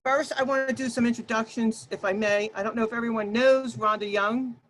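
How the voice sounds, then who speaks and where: A woman presenting an online workshop over a video call, talking loudly and emphatically and addressing her audience directly. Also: a little high, steady tone, fairly quick, slight background noise, low-quality recording.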